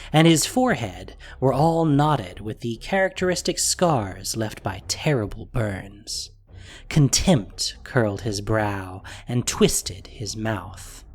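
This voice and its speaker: A male narrator reads scripted fantasy fiction with dramatic flair. His voice sits in a middle register and moves widely in pitch, following the action. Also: breathy edge.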